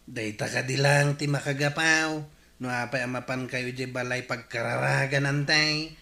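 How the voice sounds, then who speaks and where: A man's voice: medium pitch, fairly even intonation, normal speed, creaky, sharp tone, reverberant. A man playing a teasing, chatty villager with a Filipino accent in a radio comedy drama. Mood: playful.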